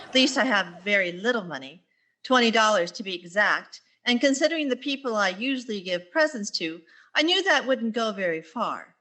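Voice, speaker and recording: A woman's voice: medium pitch, lively intonation, normal speed, pushed and bright. A woman playing a wry, put-upon schoolteacher in a radio sitcom, with a dry, wisecracking delivery. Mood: wry narration. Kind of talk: radio sitcom acting and narration.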